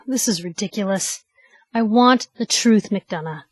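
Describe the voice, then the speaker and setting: A woman's voice: medium pitch, measured pace, dry recording, lightly acted. An actress playing a spirited, nosy young woman reporter in a video game, talking in a wry, inquisitive, punchy and colloquial way. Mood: exasperated, demanding. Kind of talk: video game companion dialogue.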